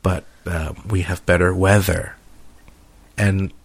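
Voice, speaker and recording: A man's voice: sits low, flat delivery, slow pace, vocal fry, husky. A man hosting a podcast in casual conversation, with a playful, teasing manner. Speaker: podcast host.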